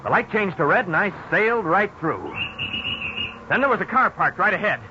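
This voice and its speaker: An actor playing an earnest man recalling his youth in folksy, expressive narration for a 1950s radio drama. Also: sits high, moderate pace. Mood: excited, boastful. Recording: dull, noisy old radio recording.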